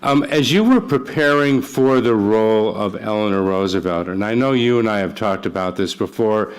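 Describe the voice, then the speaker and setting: A man's voice: on the low side, moderate pace, smooth. A man with an American accent, speaking as the host and announcer of a live radio theater broadcast. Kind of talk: live broadcast credits and on-stage chat. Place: church with live studio audience.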